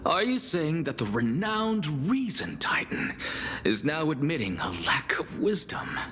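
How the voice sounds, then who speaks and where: A man's voice: fairly high pitch, big pitch swings, a little slow, fairly bright tone, a little hiss or hum. A man playing a proud, defiant young scholar in a video game, speaking in a formal, theatrical way. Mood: smug, challenging. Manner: theatrical, formal, pointed questioning. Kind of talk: dramatic game voice acting. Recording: echoey long reverb, music underneath.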